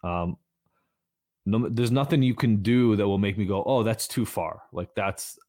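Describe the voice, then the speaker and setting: A man's voice: fairly low pitch, a little slow, a little mellow. A man in his forties from Israel, talking candidly and rambling in a casual conversation, swearing freely. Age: 40s.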